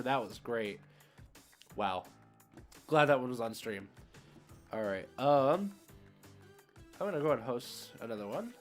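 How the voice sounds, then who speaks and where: Casting: male game streamer, mid-range voice, casual play-by-play, thinks out loud, music underneath. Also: mid-range voice. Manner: thinking aloud, informal gamer slang. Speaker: streamer hosting card game matches. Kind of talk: casual live gameplay commentary.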